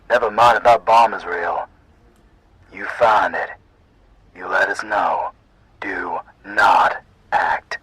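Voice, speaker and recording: A man playing a stern, stressed police commander giving urgent orders over a radio in an audio roleplay, with a rough, husky voice. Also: low-pitched, sharp tone, full character voice, low-quality recording. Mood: urgent, commanding.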